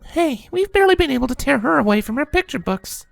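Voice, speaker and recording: A sociable young pegasus mother from a storybook, acted out by a man. The falsetto sits very high and has a friendly, chatty manner. There is faint music underneath. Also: very animated, quick pace, vocal fry, exaggerated voice. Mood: fond, chatty.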